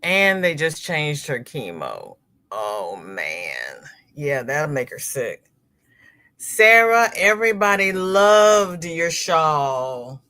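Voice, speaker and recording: A middle-aged woman chatting on a crochet livestream, taking her time over each comment and greeting viewers one by one. Her somewhat low voice swings up and down a lot as she talks. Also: sing-song, slow pace. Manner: warm, chatty, reads comments aloud and greets viewers by name. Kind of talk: casual livestream chat reading viewer comments.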